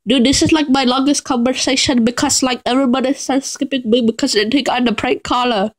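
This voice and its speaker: A young man with a high, boyish voice making a giggly prank phone call. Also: steady tone, a little fast. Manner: giggly, mock-casual chatter. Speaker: prank caller.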